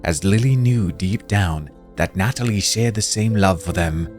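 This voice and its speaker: A man narrating a spooky fantasy story in a dramatic, expressive scripted reading. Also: deep voice, unhurried. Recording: music playing under voice.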